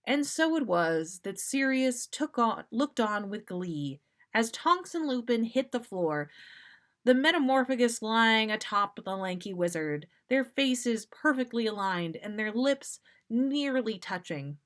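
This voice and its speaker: A woman hosting a story podcast, reading fan fiction aloud in an expressive, animated way with dramatic pauses and casual asides. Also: a little high. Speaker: podcast host reading listener stories.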